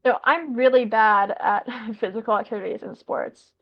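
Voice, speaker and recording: American teen girl, kinda gravelly voice, rambles w lots of "like", sounds muffled lo-fi. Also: on the high side, moderate pace. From United States. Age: teens. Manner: rambling, lots of fillers and self-corrections. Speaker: student guest. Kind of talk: casual conversation.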